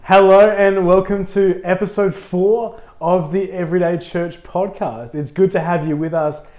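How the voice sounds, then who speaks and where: A man who is a pastor, co-hosting a casual podcast, a little husky from a cold, upbeat and chatty. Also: high voice, steady tone, moderate pace, darkish tone. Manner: upbeat, chatty, laughs easily.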